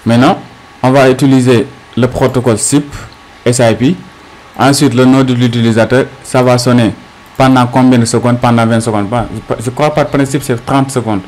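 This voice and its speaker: A man teaching an unscripted tech tutorial step by step, haltingly, often asking questions and answering them himself. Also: mid-range voice, fairly even intonation, a little mellow, low-quality recording.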